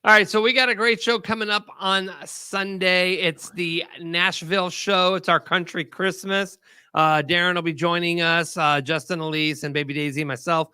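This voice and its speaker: A man's voice: high-pitched, steady tone, normal speed, sharp tone, smooth, no room sound. A man who works in radio, hosting a casual chat show in an upbeat way. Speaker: radio host.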